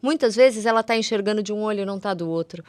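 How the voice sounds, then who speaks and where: A woman's voice: mid-range voice, fast talker, no room sound. A Brazilian woman, a guest eye doctor on a podcast, explaining conditions conversationally, step by step in everyday words.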